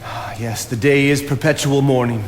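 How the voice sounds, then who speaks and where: A man's voice: mid-range voice, steady tone, normal speed, close to an everyday voice. A man acting on stage as an earnest, solitary nature philosopher, declaiming and musing aloud. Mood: dreamy, musing. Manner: declamatory, musing, talks to himself. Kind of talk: theatrical stage play acting. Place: on stage in a theatre. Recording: reverberant theatre hall, noisy background.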